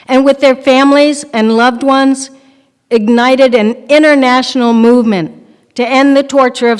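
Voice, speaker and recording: An older woman presenting an event, reading a scripted introduction in a measured, formal way. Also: fairly high pitch. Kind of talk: scripted introduction read aloud. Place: synagogue sanctuary, microphone on stand. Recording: reverberant hall through podium microphone.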